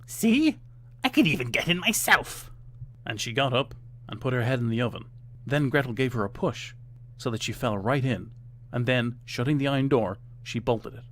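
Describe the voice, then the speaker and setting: A man's voice: medium pitch, steady tone, brisk. A man reading a fairytale aloud as scripted storybook narration.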